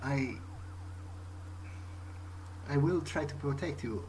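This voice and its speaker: Casting: man as tentative, awkward vampire for game roleplay, medium pitch, understated, clearly noisy recording. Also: slow, darkish tone, natural voice. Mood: earnest, hesitant. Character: hesitant, awkward, protective male vampire, musing aloud. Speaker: gamer playing in character. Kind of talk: improvised in-character video game roleplay.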